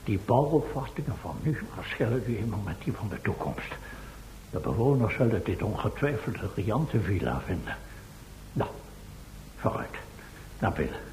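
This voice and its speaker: A man's voice: very animated, normal speed, pauses often, darkish tone. A man playing a testy, bossy professor in a radio play, impatient, sarcastic and commanding. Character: testy, bossy male professor leading an expedition. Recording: roomy, faintly noisy old radio recording with rumble.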